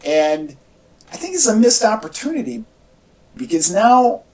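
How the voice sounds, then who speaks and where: A podcast co-host with a mid-pitched voice talks in a casual, opinionated way. The thoughts ramble, with repeated and restarted phrases. The voice sounds set back from the mic in an echoey home recording. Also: big pitch swings, a little slow, a little mellow, recorded from a distance. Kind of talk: casual movie chat, opinionated commentary.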